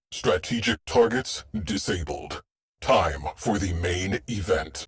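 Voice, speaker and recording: A man voicing a terse, dry shipboard AI tactician in a video game, speaking in clipped, deadpan military phrases. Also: low-pitched, heavily acted. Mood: commanding, dry. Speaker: mission control voice. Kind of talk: scripted game voice lines.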